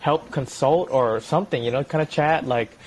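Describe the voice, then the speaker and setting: A young man co-hosting a podcast, talking in a loose, halting way with frequent restarts and repeated words. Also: mid-range voice, a little hiss or hum, low-quality recording. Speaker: podcast co-host. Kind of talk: casual podcast chat.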